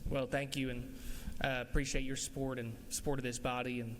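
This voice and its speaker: Brisk flat-voiced man at the well. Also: mid-range voice, long reverb tail, a little hiss or hum, poor audio. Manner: brisk, courteous, flat delivery. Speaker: legislator presenting a bill. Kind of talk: floor speech and answering questions. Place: legislative chamber.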